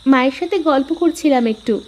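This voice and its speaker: A woman's voice: sits high, fast, a little mellow, highs cut off, slight background noise, lightly acted. A woman voicing a pouty, dutiful young village wife in a cartoon. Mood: calm. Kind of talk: cartoon voice acting.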